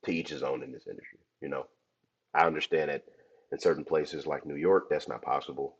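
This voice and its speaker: Hoarse, very deep-voiced podcast host. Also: male voice, steady tone, a little fast. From Cleveland, Ohio. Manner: chatty, addresses listeners as y'all. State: hoarse from a cold. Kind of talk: casual podcast talk. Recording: dull lo-fi audio.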